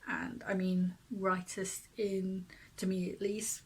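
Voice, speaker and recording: A person whose first language is Finnish, reading their own zine aloud in a casual, soft-spoken way that often drops to a half whisper. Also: female voice, medium pitch, little pitch movement, slow pace, breathy edge. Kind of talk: casual reading at a virtual open mic.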